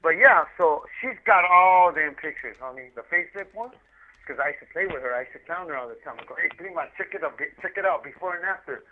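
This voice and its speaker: A man's voice: fairly high pitch, expressive, fast. A talkative man chatting casually over a phone, telling rambling, slangy anecdotes and repeating himself as he goes. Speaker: incarcerated guest calling in by collect call. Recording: muffled, tinny prison phone line.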